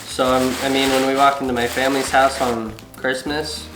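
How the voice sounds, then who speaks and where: A young man co-hosting a casual chat, soft-spoken and quiet, sounding stuffy from a cold. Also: mid-range voice, flat delivery, normal speed, over music. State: stuffy, getting over a cold. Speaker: co-host. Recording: echoey room with background noise.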